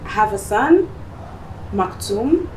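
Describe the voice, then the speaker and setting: A woman's voice: mid-range voice, slow. Young woman, echoey distant interview. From Rwanda. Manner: relaxed, rambling, with fillers. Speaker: guest, beauty business owner. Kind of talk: casual interview chat. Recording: echoey, distant mic, noisy.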